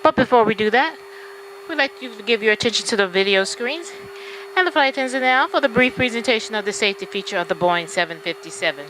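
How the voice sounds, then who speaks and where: A woman delivers a formal, scripted flight attendant announcement over the cabin PA. She goes through it at a brisk pace, with a faint humming cabin noise around her. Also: a little high, lively intonation, fairly bright tone, low-quality recording. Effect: aircraft PA system. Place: inside an airplane cabin, over the PA.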